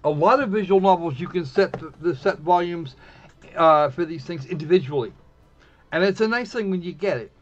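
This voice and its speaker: Higher-pitched man, rambling streamer, dull mic. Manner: rambling, halting and repeating himself, swears casually. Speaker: game streamer and host. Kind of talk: casual live-stream commentary while setting up a visual novel. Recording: dull lo-fi mic with music behind. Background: background music.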